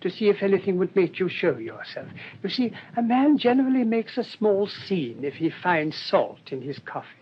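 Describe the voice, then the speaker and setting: An actor playing a mild, shrewd English priest in a radio drama, speaking in a gentle, rambling, politely hesitant way. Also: male voice, very animated, moderate pace. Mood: calm, quietly confident. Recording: dull lo-fi radio recording, rumbling noise.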